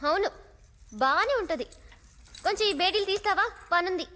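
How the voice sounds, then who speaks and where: A woman's voice: very high voice, expressive, moderate pace, bright, forceful tone, long reverb tail, full character voice. A woman playing a sweetly dramatic, cheeky young captive with a theatrical, playfully scolding delivery in a film. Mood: coaxing, irritated. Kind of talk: Telugu film acting.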